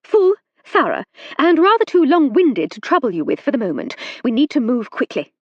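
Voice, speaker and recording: A refined aristocratic lady sleuth, crisp and theatrical, her speech lively and springy in pitch, as a female narrator plays her. A faintly husky voice on a slightly dull, dry recording. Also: moderate pace, no room sound. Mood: urgent.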